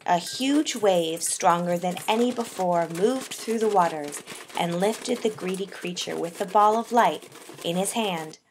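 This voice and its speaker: A woman with a slightly low voice narrates a poetic story for a puppet show. Her delivery is measured and deliberate, with phrases that soften as they close. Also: a little hiss or hum, poor audio.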